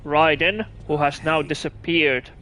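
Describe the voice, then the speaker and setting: Bright-voiced man streaming. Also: a little high, fairly even intonation, measured pace. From Finland. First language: Russian. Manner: chatty, answers viewers' chat, restarts phrases. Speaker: game streamer. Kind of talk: casual gameplay commentary.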